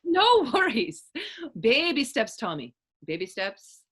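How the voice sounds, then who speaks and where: A bold, playful woman played by an actress in a readers theater drama. Her fairly high voice swings widely in pitch as she teases in a lilting, old-fashioned dialect. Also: sing-song, slow pace, a bit bright, husky, exaggerated voice. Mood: wry, reassuring. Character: bold, teasing grown Irish woman of Dublin. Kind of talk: readers theater stage acting.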